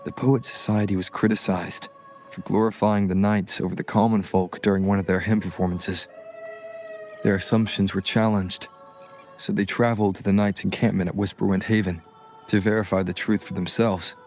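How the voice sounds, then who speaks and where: A calm young wanderer in a video game. His voice is fairly low and measured, observant and matter-of-fact, with faint music behind it. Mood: explanatory.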